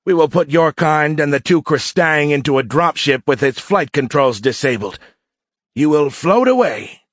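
Give the cadet , acted out by a male narrator, a voice pitched well up from a man's usual. He is a young furry alien bully in a storybook, full of gloating bluster that frays easily. The sound is close and dry. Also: animated, normal speed, dry recording. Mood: gloating, mocking. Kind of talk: audiobook character acting.

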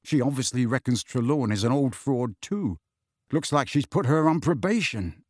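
A man voicing a teenage schoolboy who mutters low and quietly. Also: animated, normal speed, no room sound, studio quality, close to an everyday voice. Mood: muttering. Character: teenage schoolboy wizard, grumbling.

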